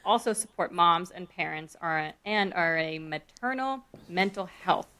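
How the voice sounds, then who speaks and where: A woman's voice: lowish voice, unhurried, pushed and bright, smooth. A woman hosting a podcast about working motherhood, introducing her guest in an upbeat, enthusiastic way. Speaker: podcast host, maternity leave coach. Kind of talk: casual podcast chat.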